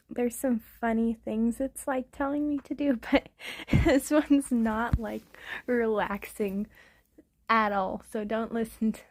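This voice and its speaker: Soft, half-whispering young woman. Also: a little high, dry recording. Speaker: ASMR creator. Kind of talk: casual chat intro to an ASMR video.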